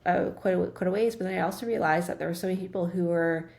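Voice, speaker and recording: A middle-aged woman talks as a guest in a relaxed interview, her voice set low with a gravelly edge. She speaks quickly and earnestly, restarting phrases as she thinks aloud. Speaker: guest, nutrition practitioner. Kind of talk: casual interview chat.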